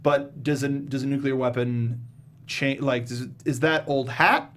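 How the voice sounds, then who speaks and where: A man's voice: mid-range voice, fairly even intonation, a little slow, fairly bright tone. A man hosting a casual film review discussion, speaking informally and emphatically with the odd mild swear. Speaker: show host.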